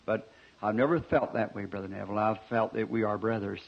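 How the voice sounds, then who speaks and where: A hoarse man preaching a sermon in a folksy, earnest way. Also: lowish voice, fairly even intonation, moderate pace. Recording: lo-fi noisy old tape, roomy.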